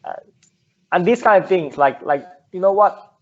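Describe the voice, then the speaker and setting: A man's voice: high-pitched, lively intonation, normal speed, highs cut off. A young man from Finland, a native Finnish speaker, talking earnestly as an interview guest, often repeating words and restarting. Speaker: guest entrepreneur. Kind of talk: casual interview talk.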